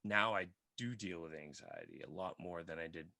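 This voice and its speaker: Raspy low-voiced guy hosting a podcast, rambly and earnest, bright forceful tone. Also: big pitch swings, moderate pace, no echo, studio quality. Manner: rambling, earnest, talks at length. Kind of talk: casual podcast chat.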